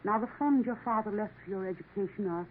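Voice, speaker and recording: An actress playing a stern, solemn elderly Mother Superior in a 1950s radio drama, measured and formal. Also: mid-range voice, close to an everyday voice. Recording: tinny old radio broadcast, faint hiss.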